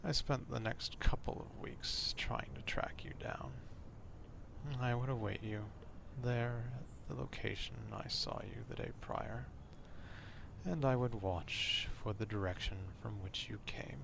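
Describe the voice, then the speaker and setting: A man playing an obsessive, old-fashioned vampire stalker in an ASMR roleplay, speaking formally in a hushed half-whisper, close to the microphone. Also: mid-range voice, fairly even intonation, noisy background. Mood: obsessive, calm. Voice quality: hushed, breathy half-whisper with gravelly fry. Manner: slow, deliberate, formal archaic wording.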